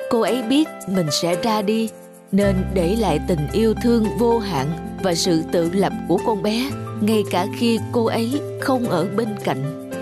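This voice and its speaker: A man voicing a weary widowed father in a cartoon, subdued and reflective in his grief. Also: high voice. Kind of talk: cartoon voice acting. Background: music.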